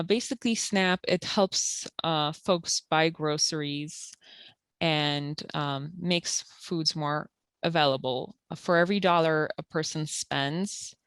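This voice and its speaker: A woman who works at a community health center, calmly explaining eligibility rules and documents step by step with frequent fillers. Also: sits low, smooth, no room sound. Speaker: health center benefits counselor. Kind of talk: informational interview.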